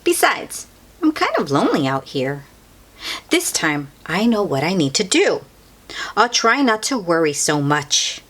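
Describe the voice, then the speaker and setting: A woman reading a children's picture book aloud as a storyteller, expressively and theatrically, with drawn-out emphasis. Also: lowish voice, big pitch swings, fairly bright tone.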